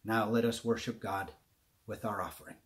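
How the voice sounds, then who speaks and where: A man in his forties, a pastor, calmly and earnestly inviting the congregation to give, recorded at home on a webcam. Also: lowish voice. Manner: calm, earnest, slowing at the close. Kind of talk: inviting the offering. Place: home room with brick wall.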